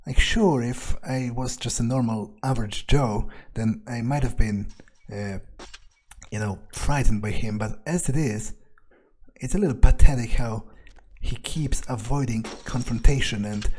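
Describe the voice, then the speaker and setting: Deadpan, mellow-voiced man musing sardonically. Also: medium pitch, a little slow, poor audio. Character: jaded, unimpressed male vampire muttering his thoughts. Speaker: let's player roleplaying in character. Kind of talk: improvised in-character game commentary, thinking aloud.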